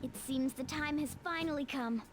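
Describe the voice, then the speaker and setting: A woman's voice: sits high, normal speed, natural voice. A woman voicing a cocky, know-it-all talking cat guide in an anime video game. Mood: smug, explaining. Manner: explanatory, self-assured, bossy. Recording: game music behind the voice.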